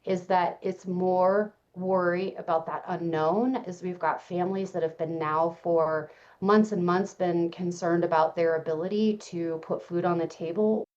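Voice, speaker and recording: A woman's voice: lowish voice, fairly even intonation, unhurried, a little mellow. A woman speaking in a news soundbite with dull, lo-fi sound.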